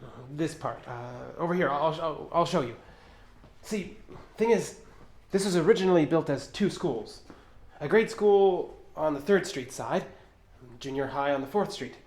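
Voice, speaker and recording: A man's voice: a little high, animated, unhurried, a little mellow. An actor playing an earnest, touchy man exploring an old building, stammering a little in naturalistic audio drama dialogue. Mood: nervous, eager. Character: earnest, touchy male urban explorer telling local legends. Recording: echoey hollow room sound.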